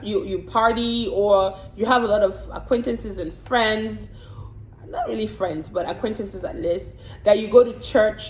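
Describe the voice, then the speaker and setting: A woman from the Congo answering get-to-know-me questions in a casual vlog, frank and chatty, often repeating words while she thinks. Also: fairly high pitch. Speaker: vlogger and hair and makeup artist. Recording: roomy echo with faint background hiss.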